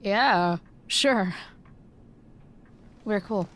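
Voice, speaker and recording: A woman's voice: medium pitch, very slow. Blunt, rebellious teenage girl. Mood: wry. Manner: blunt, slangy, defiant. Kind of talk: naturalistic video game acting.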